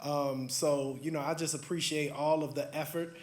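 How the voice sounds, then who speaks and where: A man's voice: a little high, moderate pace. A man in his thirties giving casual welcome remarks at a university event, loose and full of fillers, at a stand mic. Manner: relaxed, filler-heavy, warm thanks. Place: large indoor hall. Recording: roomy hall sound.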